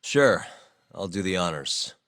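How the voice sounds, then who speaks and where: An actor playing a serious detective who speaks in a calm, measured, matter-of-fact way. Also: male voice, low-pitched, full character voice. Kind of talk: video game cutscene voice acting.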